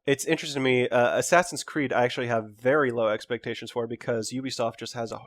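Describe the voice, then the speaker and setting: A man's voice: mid-range voice, fairly even intonation, quick pace. A man chatting casually as a panelist on a podcast. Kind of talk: casual chat.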